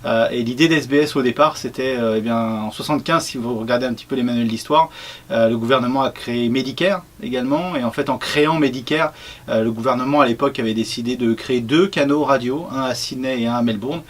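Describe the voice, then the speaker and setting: A man talks casually, presenting his radio team and the station's past. He pauses often to search for words. His voice sits in a middle register. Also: mid-range voice. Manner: relaxed, hesitant with many fillers. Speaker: radio program head.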